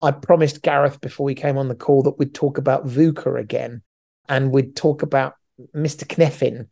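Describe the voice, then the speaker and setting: A middle-aged man talks through his points in a loose, joking ramble, often starting a phrase over. His voice has a gravelly edge and sits at an everyday pitch for a man. The feel is a relaxed podcast conversation between regular co-hosts. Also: normal speed. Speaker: podcast co-host. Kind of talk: casual podcast chat.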